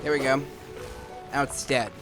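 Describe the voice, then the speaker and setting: Casual male gamer with a higher-pitched voice. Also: steady tone, normal speed. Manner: rambling, thinking aloud. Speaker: gaming video host. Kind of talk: casual gameplay commentary. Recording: game music behind the voice. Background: video game music.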